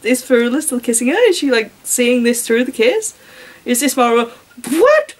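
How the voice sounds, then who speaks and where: A woman's voice: on the high side, normal speed. A woman reading a story aloud and breaking off for casual, sarcastic commentary. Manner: wry, sarcastic asides.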